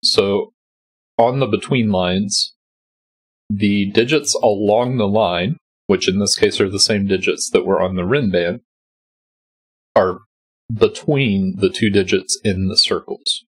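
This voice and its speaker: A man's voice: fairly low pitch, slow pace, slightly rough. A man with a stuffy, congested voice, patiently talking through a sudoku solve step by step as host. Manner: patient, explanatory, thinking aloud. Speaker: host solving a sudoku puzzle. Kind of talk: calm step-by-step puzzle walkthrough. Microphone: voice-over on a screen recording.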